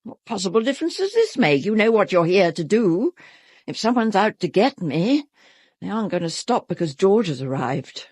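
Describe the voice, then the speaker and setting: A woman's voice: medium pitch, very animated, moderate pace, darkish tone, husky, no echo, clean studio sound. An actress playing a grand, commanding British married woman of the theatre in an audio drama. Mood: brisk, assured. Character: imperious, theatrical married actress who fears for her safety.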